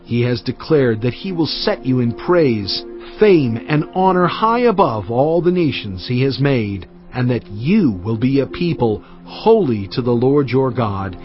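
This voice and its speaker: Commanding old-world prophet for audio Bible: deliberate, declamatory, very animated melody, music underneath. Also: male voice, medium pitch. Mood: solemn, earnest. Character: solemn, commanding male Hebrew prophet and lawgiver addressing his people. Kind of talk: dramatized audio Bible acting. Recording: dull band-limited audio over music. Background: background music.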